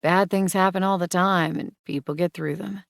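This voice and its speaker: A woman voicing a gentle, reassuring woman from the South who speaks in a measured way. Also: lowish voice, steady tone, gravelly, dry recording, studio quality. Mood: soothing.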